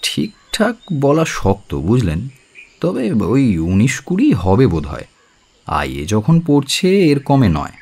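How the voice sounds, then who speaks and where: A man's voice: medium pitch, wildly swooping pitch, takes it slowly, darkish tone, slightly rough, exaggerated voice. An actor playing a frank, wry young bachelor in a radio play, polite but teasing as he talks. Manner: polite, teasing, hesitant openers.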